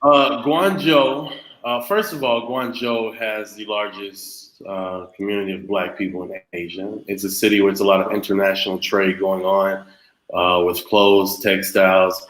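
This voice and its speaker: A man's voice: on the low side, steady tone, moderate pace, fairly bright tone. A man explaining things in a casual chat, in a hesitant, piecemeal way. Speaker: panel guest. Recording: echoey room.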